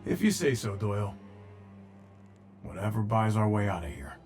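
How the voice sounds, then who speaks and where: A sardonic outlaw pilot in a cinematic video game. His delivery swings widely in pitch, skeptical and reluctant, with music underneath. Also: big pitch swings, normal speed, a little mellow. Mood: weary, doubtful. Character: wry, reluctant outlaw pilot, adult man.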